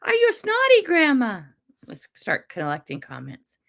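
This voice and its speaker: An older American woman hosting a casual livestream, greeting viewers by name and rambling along, her voice rough and congested from a cold. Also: high-pitched, wildly swooping pitch, measured pace. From United States. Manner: chatty, rambling, warm greetings to commenters. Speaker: livestream host.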